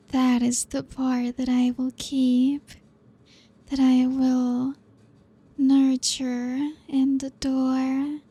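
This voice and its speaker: A woman voicing a possessive, seductive female vampire in an ASMR roleplay, speaking softly and slowly as she dotes and commands. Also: high-pitched, husky, exaggerated voice. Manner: slow, soft, doting and controlling.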